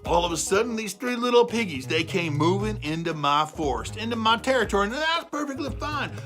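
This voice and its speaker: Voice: mid-range voice, big pitch swings, a little fast, fairly bright tone, faint music behind. A storyteller voicing an aggrieved, self-justifying big bad wolf who tells his side of a children's fairy tale with exasperated, emphatic repetition. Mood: aggrieved, explaining. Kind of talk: animated first-person storytelling for children.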